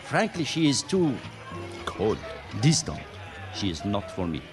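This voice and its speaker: Smooth romantic host guy, courtly wording, really lively pitch, music under it. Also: measured pace. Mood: suave, aloof. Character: suave, romantic male host, smooth-talking charmer. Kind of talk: radio mystery drama acting. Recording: music behind the dialogue.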